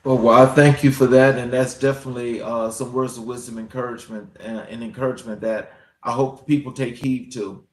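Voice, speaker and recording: A man hosting an interview show, speaking in deliberate, oratorical phrases. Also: mid-range voice, flat delivery. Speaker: show host. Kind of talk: scripted introduction and interview hosting. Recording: roomy, echoing sound.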